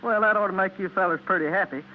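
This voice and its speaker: An actor playing a brash, stubborn backwoods hillbilly with a cocky Southern drawl in radio comedy. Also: male voice, sits high, fast, natural voice. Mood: folksy, nosy. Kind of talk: 1940s radio comedy acting. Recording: muffled, noisy 1940s radio broadcast.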